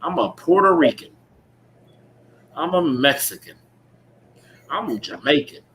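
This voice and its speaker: A man's voice: a little high, big pitch swings, unhurried, fairly bright tone. Emphatic male preacher over noisy background. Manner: emphatic, repeats phrases and checks in with listeners. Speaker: preacher leading a scripture teaching. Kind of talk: street-preaching style sermon with call-and-response reading. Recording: clearly noisy recording with ambient background sound.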